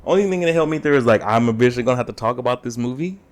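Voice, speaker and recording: A man's voice: mid-range voice, lively intonation, rapid-fire. A man co-hosting a casual chat, blunt and repeating himself for emphasis. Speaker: podcast co-host.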